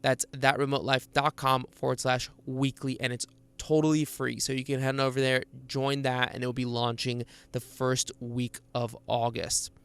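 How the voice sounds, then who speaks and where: A man's voice: medium pitch, level tone, moderate pace, fairly bright tone, clean tone. A young man, born in Bulgaria, hosting a podcast interview, upbeat and chatty with lots of "like" and "uh". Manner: upbeat, chatty, many fillers like "uh" and "like". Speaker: podcast host. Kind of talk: casual interview chat with ad read.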